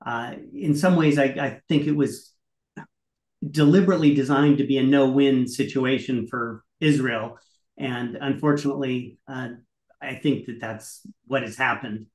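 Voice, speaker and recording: A middle-aged man, a guest commentator on a video call, frustrated but measured. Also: medium pitch, steady tone. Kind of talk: political commentary chat. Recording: roomy video call audio.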